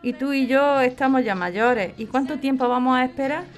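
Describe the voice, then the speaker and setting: An actress plays an older woman with a Spanish accent, affectionate and practical. Her voice sits slightly high. She talks in a coaxing, plain-spoken way, as in an intimate audio drama. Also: moderate pace, faint music behind, natural voice. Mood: fond, frank. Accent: Spain. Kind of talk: podcast radio drama acting, conversational.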